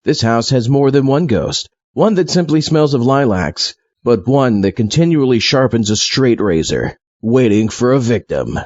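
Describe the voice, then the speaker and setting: A man's voice: mid-range voice, very animated, normal speed, hardly any pauses, darkish tone, dry recording, lo-fi sound. A man hosting an audio show, playful, in the style of a comedy skit. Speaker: host. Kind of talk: playful host talk and comedy skit acting.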